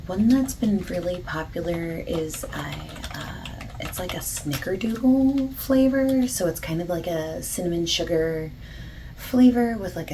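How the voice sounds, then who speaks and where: A woman playing a friendly, patient bakery salesperson in a soft-spoken ASMR roleplay, often breathy and near a whisper, gently overexplaining. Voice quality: low-pitched, creaky, soft. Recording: lo-fi hissy close recording, keyboard typing.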